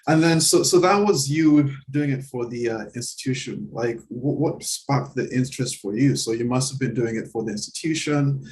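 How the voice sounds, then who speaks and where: A man with a middling voice hosts a relaxed interview. He encourages his guest with frequent "mm-hmm" and rephrases his questions as he speaks. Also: mid-range voice, moderate pace, hardly any pauses. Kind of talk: conversational interview.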